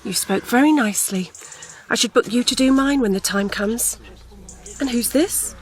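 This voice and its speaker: A woman's voice: a little high, moderate pace, a little hiss or hum, natural voice. An older woman acting a forthright, disapproving aunt with a grievance in a naturalistic radio drama. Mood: brisk. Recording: murmur of a crowd behind.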